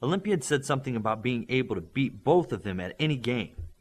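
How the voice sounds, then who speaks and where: A man's voice: mid-range voice, a little fast. A man reading a novel aloud as audiobook narrator, voicing the characters. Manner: expressive, varied voices. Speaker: audiobook reader.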